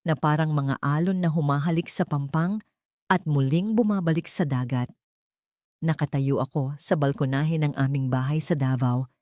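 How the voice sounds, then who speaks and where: A woman with a Filipino accent narrates a story in the first person, reflective and lyrical. Her voice sits very low for a woman. The sound is dry and close, with no room in it. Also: dark tone, no room sound.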